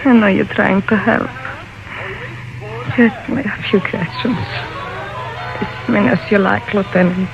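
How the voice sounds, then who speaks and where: An actress playing a grieving, plaintive woman, halting and distressed, in an old radio drama. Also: mid-range voice, steady tone, creaky, loud music behind the voice. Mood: numb grief. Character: grieving, plaintive wife or mother of murdered man. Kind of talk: 1950s radio drama acting. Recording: muffled, noisy old radio recording.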